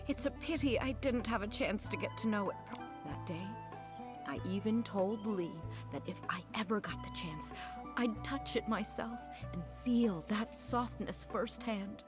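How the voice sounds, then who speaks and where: A woman's voice: mid-range voice, a little slow, natural voice. An actress playing a wistful, easily flustered young lady in a video game, speaking in a melancholy, hesitant, dramatic way. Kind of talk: voiced video game cutscene dialogue. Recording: faint game music underneath.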